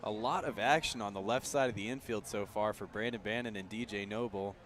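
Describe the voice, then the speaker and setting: An American man giving conversational, unhurried color commentary on a live baseball radio broadcast. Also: lowish voice, background noise. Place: outdoor ballpark broadcast.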